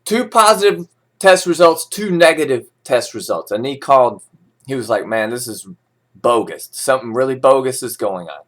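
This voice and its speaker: A man's voice: mid-range voice, animated, moderate pace. A man reading financial news as an informal host, breaking into sarcastic, opinionated and folksy asides.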